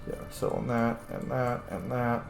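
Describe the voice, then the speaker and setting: A man's voice: medium pitch, steady tone, a little slow, creaky, over music. A man giving casual, informal let's-play commentary, thinking aloud as he plays a video game. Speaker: video game player, streamer. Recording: roomy echo, faint background noise.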